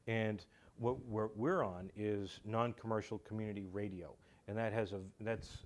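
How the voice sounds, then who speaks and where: Low-voiced man, halting and courteous. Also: steady tone. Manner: halting, repeats words, courteous. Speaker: guest, radio show writer. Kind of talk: casual interview chat. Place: community television studio.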